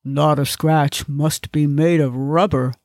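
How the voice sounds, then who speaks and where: A woman playing a tall, concerned male police officer in a comic audiobook, speaking in a steady, official way. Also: deep voice, takes it slowly, dry recording, clean studio sound. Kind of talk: comic audiobook character acting.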